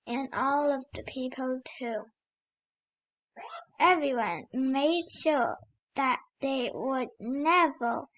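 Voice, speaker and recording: Child, high voice, unhurried reading, picture book read-aloud, spontaneous wondering comments. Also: fairly bright tone, poor audio.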